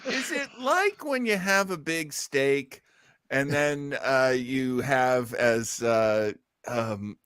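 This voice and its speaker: Bright, animated man commenting casually. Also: mid-range voice, slow pace, clear, resonant tone, dry recording. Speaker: listening party host. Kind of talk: casual joking commentary over a radio play.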